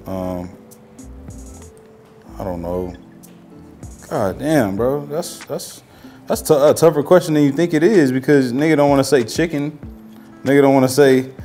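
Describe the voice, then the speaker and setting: A man's voice: mid-range voice, mellow. An American man in his thirties, a guest, chats casually in a slangy, hesitant, sometimes profane way. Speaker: guest, music artist. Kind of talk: casual chat. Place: home-style kitchen, indoors. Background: music.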